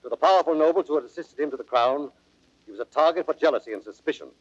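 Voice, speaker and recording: A man's voice: on the high side, animated, racing, dry recording. A man giving scripted, emphatic dramatic narration for an audio play, on an old recording. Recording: dull vintage audio recording.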